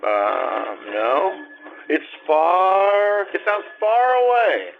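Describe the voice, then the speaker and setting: An actor playing a tipsy, rambling man in an old-time radio comedy, with slurred, halting, stammering speech. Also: high-pitched, expressive, creaky, exaggerated voice. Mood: tipsy, musing. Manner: halting, stammering drunk talk. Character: tipsy, rambling, flirtatious man after a champagne party. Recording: dull, noisy stage radio-play recording.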